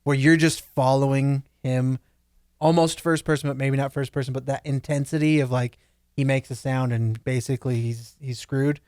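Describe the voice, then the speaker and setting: A man talks casually as part of a podcast panel. His voice sits at an average pitch and he sounds informal and enthusiastic. The recording is close and dry, free of room echo. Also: steady tone, moderate pace, a little mellow, clear, resonant tone. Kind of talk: casual chat.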